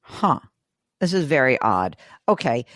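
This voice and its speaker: Raspy-voiced woman hosting a money show. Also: very deep voice, sing-song, a bit bright, dry recording, studio quality. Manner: brisk, direct questioning. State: hoarse. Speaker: host. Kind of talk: call-in money advice show.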